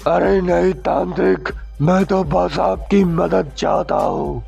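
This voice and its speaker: A man voicing a crazed flesh-eating male ghost in a horror cartoon, talking in a slow, gravelly, menacing drawl. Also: sits high, animated, a little mellow, faint music behind, heavily acted. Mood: pleading. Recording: muffled lo-fi recording.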